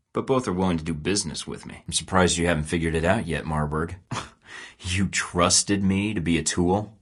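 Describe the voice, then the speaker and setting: A man's voice: sits low, fairly bright tone. A young man playing a cocky male rogue spy who talks in a sarcastic, clipped way in a studio game recording. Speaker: secret agent protagonist. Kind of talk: video game voice acting.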